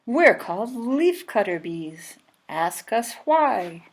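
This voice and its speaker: A woman's voice: medium pitch, very animated, slow pace, full character voice. A woman voicing perky, proud little wild bees from a children's storybook, in short declarations. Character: chirpy wild bees introducing themselves, storybook creatures.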